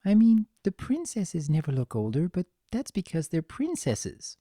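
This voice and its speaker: Woman voicing a high-pitched, gentle, anxious young pony. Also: wildly swooping pitch, unhurried, dry recording, clean studio sound, exaggerated voice. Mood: musing, uneasy. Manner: soft, earnest, fretful.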